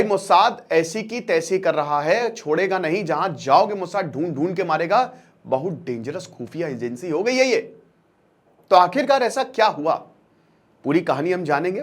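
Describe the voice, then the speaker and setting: Male online educator, fairly high voice; informal storytelling lecture delivery with emphatic repeats. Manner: animated colloquial storyteller, emphatic repetition, rhetorical questions. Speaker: online educator and channel host.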